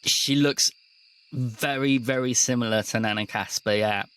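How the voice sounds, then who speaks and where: A man runs a tabletop roleplaying game as game master. He tells the story in a measured, descriptive manner at a middling pitch, setting out scenes for the players. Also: steady tone, fairly bright tone, smooth, low-quality recording.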